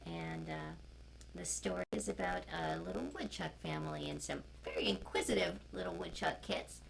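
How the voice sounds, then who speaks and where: A woman's voice: on the low side, very animated, moderate pace, gravelly. A woman storyteller and co-host who chats informally and reads a picture book aloud, on a noisy live-stream recording.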